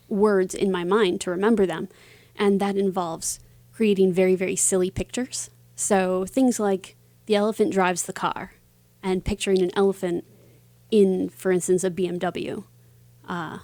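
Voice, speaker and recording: A woman speaks as an author being interviewed, in a casual, conversational style. Her voice sits at a middle pitch. The recording is dry, with no real room sound. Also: dark tone, no room sound.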